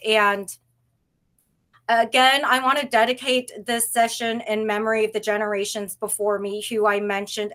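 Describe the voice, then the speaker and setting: A woman's voice: a little high, fairly bright tone. Earnest woman presenting on a video call. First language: Tagalog. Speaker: presenter of a university session. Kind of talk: online presentation, warm and formal.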